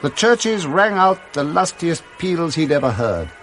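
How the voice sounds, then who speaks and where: An English man narrates in a dramatic storybook style for radio. He relishes every word and moves his pitch about freely and expressively. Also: sing-song, unhurried, a bit bright, faint music behind.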